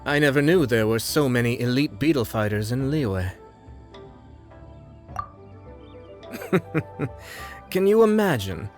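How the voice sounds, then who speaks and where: A man voicing a courtly, suave young lord in a video game, composed and slyly amused, with formal, polite, measured phrasing. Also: mid-range voice, expressive, faint music behind, close to an everyday voice. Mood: wryly amused. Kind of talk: video game cutscene acting.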